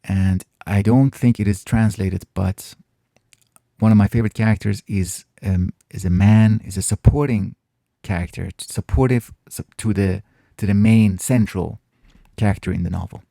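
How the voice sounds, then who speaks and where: A man whose first language is Farsi, hosting a casual Q&A and answering viewer questions in a thoughtful way, often pausing and restarting his phrases. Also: on the low side, fairly even intonation, creaky, warm tone, dry recording, clean studio sound. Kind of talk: casual reflective Q&A monologue.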